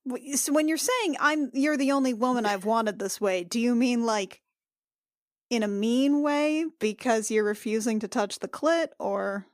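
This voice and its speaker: High, dry-miked woman, playfully baffled. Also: measured pace, no room sound. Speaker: book club podcast performer. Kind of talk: improvised comedy banter.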